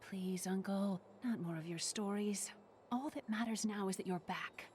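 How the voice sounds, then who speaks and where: An actress playing an earnest, brave and caring young niece in a fantasy video game, speaking directly. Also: female voice, mid-range voice, moderate pace, a little mellow, big-room sound, slight background noise, natural voice. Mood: impatient.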